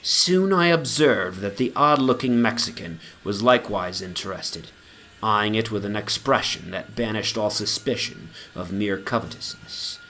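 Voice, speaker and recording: A man giving a formal, measured, theatrical dramatic reading of a gothic horror story as its narrator. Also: on the low side, expressive, sharp tone, rough recording. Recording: background noise from an ambient soundscape. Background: atmospheric soundscape.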